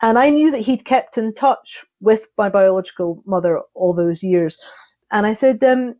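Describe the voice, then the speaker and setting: A woman's voice: medium pitch, moderate pace, highs cut off. Scottish woman telling a personal story. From Scotland. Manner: animated anecdotal telling with frequent restarts and laughter. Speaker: podcast guest.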